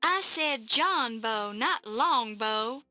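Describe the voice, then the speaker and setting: A woman's voice: fairly high pitch, lively intonation, very slow, bright, forceful tone, faint music behind, full character voice. An actress playing a curious young woman reporter who asks polite, probing questions in a 1990s adventure game. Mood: inquisitive.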